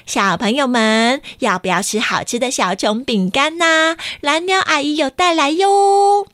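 Woman playing a storybook blue bird auntie, high squealing voice, exclamatory, teasing, dry sound. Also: unhurried, fairly bright tone, dry recording. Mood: gushing. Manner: exclamatory, laughs a lot, teasing. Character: chatty, gushing female blue bird auntie.

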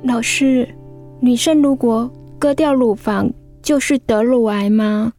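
A timid high school girl in a radio drama, speaking Taiwanese Mandarin in a high voice. She is anxious and hesitant, taking her words slowly. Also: steady tone, mellow, faint music behind. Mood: frightened, hesitant. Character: worried, timid seventeen-year-old high school girl.

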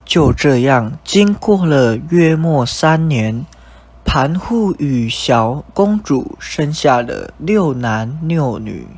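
A man's voice: fairly high pitch, expressive, slow, darkish tone, slightly rough. A young man with a Taiwanese Mandarin accent reading scripted narration for a radio drama. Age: young adult. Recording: music bed behind narration.

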